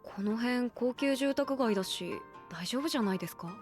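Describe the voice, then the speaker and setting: A woman's voice: a little high, lively intonation, moderate pace, soft background music. An actress playing an easygoing young female college student, casually polite and a little wry, in a Japanese anime audio drama. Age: young adult.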